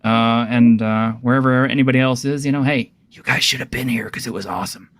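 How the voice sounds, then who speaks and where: A man hosts a casual pop-culture chat over an online call, his voice mid-pitched and heard close and dry. He rambles through his lines with plenty of fillers and laughs along with his guests. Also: steady tone, a little fast, a bit bright, no echo. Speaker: host. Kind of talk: casual group chat on a livestream.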